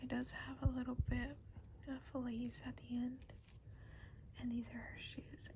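A woman speaking softly and breathily, often close to a whisper, in a gentle, casual ASMR show-and-tell. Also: on the high side, little pitch movement, a little slow. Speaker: doll ASMR video creator. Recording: clear background noise, lo-fi ambience.

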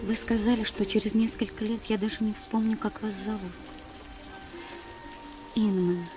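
A woman's voice: medium pitch, fairly even intonation, normal speed, natural voice. A woman playing a genteel, lonely, devoted young woman in a dubbed film drama, speaking formally and earnestly. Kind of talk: dramatic film dub, dialogue and letter narration. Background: film music.

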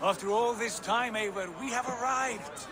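A man's voice: extremely high pitch, moderate pace, a crowd in the background, background noise. An actor playing Sigurd, a proud, boastful male Viking chief who speaks in a rousing, declamatory way. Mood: exultant. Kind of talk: video game voice acting. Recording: game audio with music behind.

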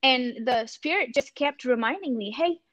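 A woman's voice: high voice, expressive, normal speed, a bit bright, dry recording. A woman from India with an Indian accent, speaking earnestly and thoughtfully on a video call, often restarting her sentences. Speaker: campus ministry missionary. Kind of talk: reflective panel talk. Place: home room. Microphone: laptop webcam on a video call.

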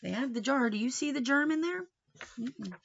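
A middle-aged woman reading a children's picture book aloud expressively, pausing for questioning asides to young listeners. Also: sits high, lively intonation, vocal fry, lo-fi sound, a little hiss or hum. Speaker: storybook reader. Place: small wood-panelled room indoors.

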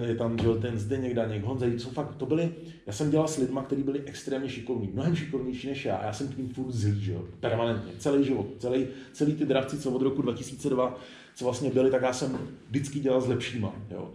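A man's voice: medium pitch, mellow. A man in his forties talking casually and candidly as an interview guest, rambling informally. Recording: echoey room.

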